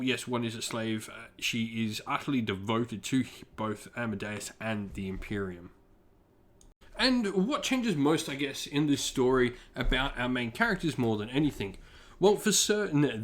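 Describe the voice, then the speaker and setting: A man hosting an informal review, talking conversationally to viewers and softening his points with small asides. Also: medium pitch. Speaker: channel host, book reviewer. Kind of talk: informal scripted-outline review commentary to viewers.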